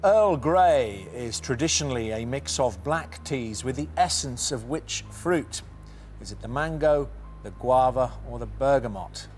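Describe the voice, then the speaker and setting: A middle-aged man hosting a TV quiz in a studio, reading questions briskly and teasing the contestants. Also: medium pitch, animated, darkish tone, soft background music. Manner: brisk, teasing, reads multiple-choice questions. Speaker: quiz show host.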